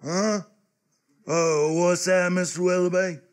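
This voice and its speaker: Man playing naive teenage lad, highish voice, slow delivery, earnest, hesitant, dim, comic radio theatre. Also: fairly even intonation. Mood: puzzled, sheepish. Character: dim, earnest, naive eighteen-year-old small-town handyman lad.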